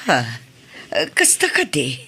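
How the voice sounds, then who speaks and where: Husky, lively-voiced mother, as a woman plays her. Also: lowish voice, slow. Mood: tender. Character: loving mother, middle-aged.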